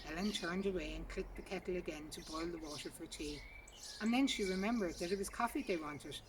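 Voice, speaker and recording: A woman reads a short story aloud as scripted narration, recorded outdoors in a garden. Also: fairly low pitch. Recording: distant mic, outdoor noise, birdsong. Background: birdsong and outdoor ambience.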